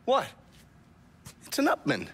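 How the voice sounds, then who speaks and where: An actor playing a weary, hard-drinking intelligence agent in a video game cutscene, blunt, profane and sarcastic. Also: male voice, measured pace, a bit bright, slight background noise, close to an everyday voice. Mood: matter-of-fact. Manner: blunt, sardonic.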